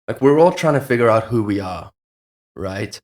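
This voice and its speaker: A man with a fairly low voice chats informally as a podcast host. He muses out loud, putting his thoughts as open, rhetorical questions. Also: lively intonation, moderate pace. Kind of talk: casual reflective chat.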